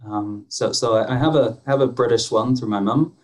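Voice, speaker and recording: A man's voice: mid-range voice, moderate pace. A man who grew up in Bangladesh, hosting a podcast conversation in a friendly, self-deprecating way with frequent restarts. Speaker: podcast host.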